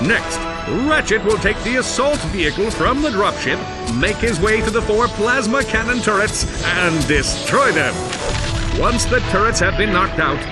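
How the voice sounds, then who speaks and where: A man playing a pompous, cowardly superhero captain in a cartoon video game, grandiose and self-important. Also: high voice.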